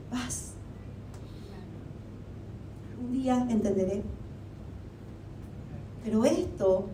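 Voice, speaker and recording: A woman from Argentina preaching a sermon to a church, chatty, with many rhetorical questions. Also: dark tone. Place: church hall. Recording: echoey hall, mic at a distance, faint rumble.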